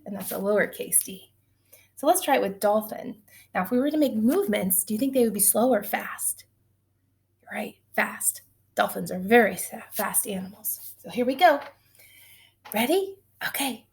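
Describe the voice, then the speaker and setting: A woman's voice: a little high, darkish tone. A woman teaching young children in an encouraging, sing-song way, asking them questions as she counts, chants and tells simple stories.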